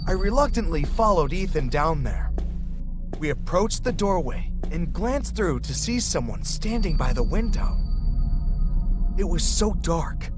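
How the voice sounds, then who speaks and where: A young man narrating a scripted first-person horror story, with music behind him. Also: a little high.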